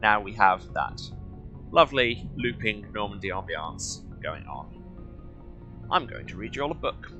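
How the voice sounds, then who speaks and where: A man's voice: fairly low pitch, animated, measured pace, bright, forceful tone. A man hosting a video game playthrough, chatting with wry asides as he comments. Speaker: let's play host. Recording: game music behind the voice. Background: video game music and ambience.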